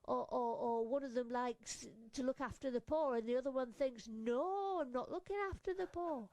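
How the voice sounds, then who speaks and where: A woman's voice: high voice, moderate pace, mellow, no room sound. A woman voicing an earnest, eager, opinionated young girl who chats and butts in during an improvised fairytale puppet show.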